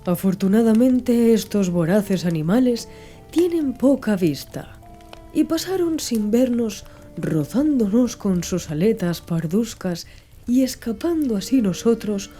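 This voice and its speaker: A woman narrating a literary audiobook from a script, with measured, deliberate phrasing. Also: a little high, expressive, warm tone. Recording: music behind the voice. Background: music.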